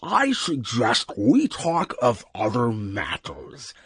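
A man's voice: medium pitch, wildly swooping pitch, takes it slowly, slightly rough, no echo, heavily acted. A man voicing a huge pig-like alien, genteel, articulate and sensitive, in an audiobook. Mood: polite. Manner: polite, articulate, pained.

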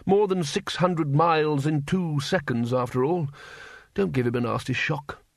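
A man voicing the male head of a wizard college, measured and deliberate. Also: a little high, creaky, no room sound, clean studio sound.

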